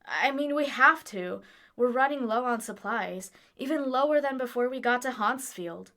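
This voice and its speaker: A woman's voice: fairly high pitch, animated, moderate pace, bright, forceful tone. A woman acting in an audio drama as a blunt, pragmatic young traveler, frank and informal, exasperated but caring. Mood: firm, reasoning.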